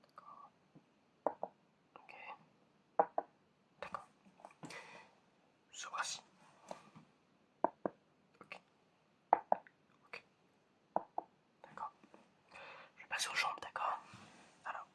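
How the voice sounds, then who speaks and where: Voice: very slow, pauses often, sharp tone. An ASMR performer whispering a roleplay exam in a hesitant murmur, often repeating short phrases. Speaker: ASMR performer playing an examiner.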